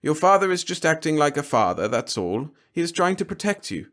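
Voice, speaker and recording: A man's voice: on the high side, sing-song, fairly quick, fairly bright tone, pitched above the performer's own voice. A man voicing a placid, dreamy, materialistic wife and mother in a storybook reading. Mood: calm.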